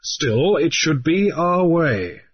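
A calm, grave sea captain with a dry wit, speaking in measured tones, as a male narrator voices him. The voice is husky, a touch raised from the narration. The recording is dull and lo-fi. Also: a little high, voice pushed up.

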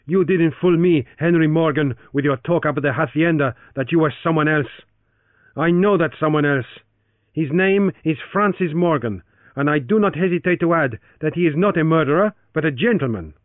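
A man's voice: fairly high pitch, normal speed, no echo, voice pushed up, lightly acted. A man voicing a stern adult man who accuses earnestly, heard through a tinny, dry narrowband recording. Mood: accusing.

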